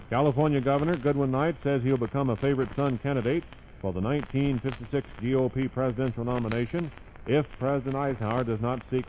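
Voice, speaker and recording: 1950s American radio newsman, dull noisy recording. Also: medium pitch. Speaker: radio newscaster. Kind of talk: scripted 1950s radio news reading.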